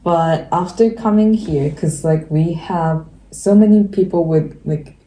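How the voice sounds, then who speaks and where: Low gravelly young japanese woman, warm dark tone, echoey room, chatty with lots of likes. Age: 20s. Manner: fillers and quick bursts. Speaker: podcast co-host. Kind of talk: casual chat. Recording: echoey room, faint ambience.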